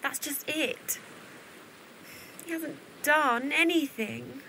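Woman acting bright, naive Victorian younger sister. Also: sits high, very slow, slight background noise, heavily acted. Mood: lovelorn. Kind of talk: period audio drama acting.

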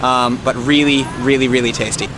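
A man's voice: medium pitch, level tone, brisk. A young man talking casually and eagerly to a camera held at arm's length, outdoors in a city park, with lots of fillers. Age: young adult. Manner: chatty, enthusiastic, many fillers. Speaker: travel vlogger. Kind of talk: casual travel vlog. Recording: outdoor street noise.

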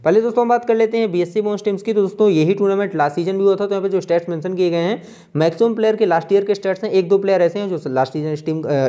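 A man with an Indian accent giving an informal, brisk fantasy cricket preview as a channel host, running through player statistics. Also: sits high, mellow. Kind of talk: informal match preview commentary over on-screen stats.

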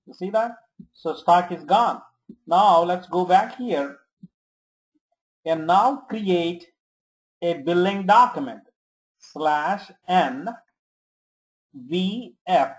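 A software trainer giving step-by-step instructions in short commands, checking in often during a screen tutorial. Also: mid-range voice, drawn-out words, pauses often, low-quality recording. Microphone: screen-recording voice-over.

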